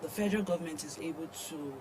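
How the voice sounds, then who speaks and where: A woman's voice: sits low, quick pace. Hesitant young Nigerian woman over background noise. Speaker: news show co-host. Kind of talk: newspaper review discussion. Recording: clear background noise and ambience.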